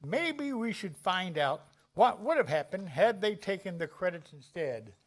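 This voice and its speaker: A man's voice: high voice, sing-song, a little slow, full character voice. A man playing a showy host and narrator in a fairy-tale parody play, with emphatic, theatrical delivery. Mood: showy, announcing.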